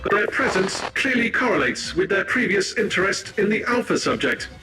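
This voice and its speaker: A man's voice: high-pitched, big pitch swings, normal speed, over music, poor audio. A man playing a dry, precise, pedantic technical officer giving formal reports in a sci-fi video game. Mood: clinical. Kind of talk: video game cutscene voice acting.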